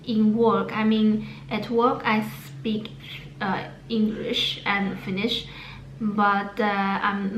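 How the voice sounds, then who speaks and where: Slow, hesitant woman with a Chinese accent. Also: a little high, echoey room, a little hiss or hum, poor audio. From China. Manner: hesitant, many fillers and restarts. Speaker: vlogger. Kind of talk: casual talk to camera about language learning.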